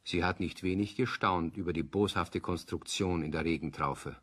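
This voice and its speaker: A man's voice: low-pitched, normal speed, fairly bright tone, husky. A man narrating a children's audio play as a scripted storyteller.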